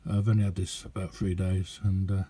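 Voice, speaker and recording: A man giving a casual, rambling hands-on demonstration as a radio hobbyist, chatty and hesitant in an unhurried way. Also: low voice, level tone, dark tone, husky.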